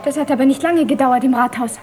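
A woman's voice: high voice, quick pace, a little mellow, a little hiss or hum, lightly acted. An actress playing an anxious, pleading woman in a radio drama, speaking with urgent emotion. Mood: puzzled, urgent. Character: anxious, pleading woman, fearful for a young man.

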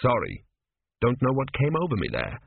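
A man's voice: medium pitch, huge pitch swings, normal speed, creaky, husky, no room sound, phone-quality sound, full character voice. A man voicing a flustered, doubting priest in a comic fantasy storybook. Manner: stammering, apologetic. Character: flustered, doubting priest, indignant when crossed.

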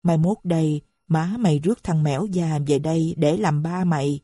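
A woman voicing a bitter, defiant middle-aged stepfather who answers back gruffly. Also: low-pitched, moderate pace, dark tone, no room sound, clean studio sound. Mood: sorrowful. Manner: gruff retorts, turning sorrowful.

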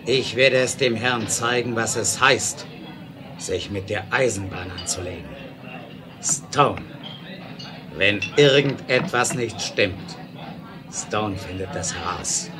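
An actor playing a hot-tempered, bullying railroad boss in a 1970s German audio drama, speaking in a commanding, emphatic way. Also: male voice, on the low side, slow, long pauses. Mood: angry, defiant. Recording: noisy 1970s radio play record.

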